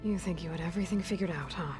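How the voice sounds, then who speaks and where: A guarded young heroine voiced by an actress in a video game, with a lowish voice for a woman. She is headstrong and skeptical, questioning bluntly with a wry edge. Faint music sits behind her. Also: racing, natural voice. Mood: probing, skeptical. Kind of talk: video game dialogue acting.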